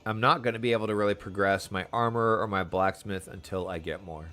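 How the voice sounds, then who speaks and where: A man's voice: fairly low pitch, steady tone, a little fast, pushed and bright, soft background music. A man chatting casually and enthusiastically as he streams a video game, using slang and talking to viewers.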